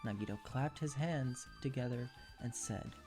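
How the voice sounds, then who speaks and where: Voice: medium pitch, normal speed, darkish tone, soft background music. A narrator reading second-person fan fiction in an ASMR storytelling style.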